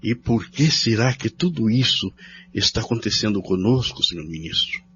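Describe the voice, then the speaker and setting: An actor playing a troubled, pious pastor in a radio drama, solemn and questioning. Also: male voice, lowish voice, very animated, moderate pace, fairly bright tone. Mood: troubled, wondering. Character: troubled, pious pastor wondering at divine signs. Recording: dull lo-fi audio with noise.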